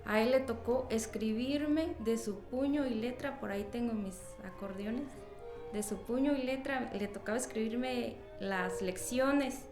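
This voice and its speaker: A woman's voice: fairly high pitch, unhurried. A woman with a Mexican accent recalling her own past in an interview. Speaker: musician interviewee. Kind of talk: personal recollection in interview. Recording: echoey room, music underneath. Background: music.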